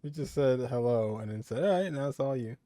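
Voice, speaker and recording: A man's voice: medium pitch, a little fast, mellow, no room sound. A young man giving casual, joking, skeptical commentary as he plays a game. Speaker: gamer, let's player.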